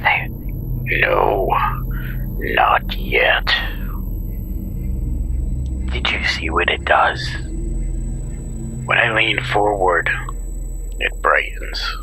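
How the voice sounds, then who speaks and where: A man acting an unhinged, confined patient who believes he is broadcasting, in a theatrical horror monologue that moves between breathy, halting whispers and louder outbursts. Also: sits low, sing-song, music in the background, exaggerated voice, rough recording. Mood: secretive, paranoid.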